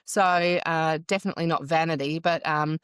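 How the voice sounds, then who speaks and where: Brief: woman, 50s, from Wales, smooth-voiced podcast guest, chatty storyteller, dry close sound. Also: deep voice, fairly even intonation, moderate pace, fairly bright tone, no room sound. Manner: chatty, storytelling, frequent ums. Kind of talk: casual interview chat.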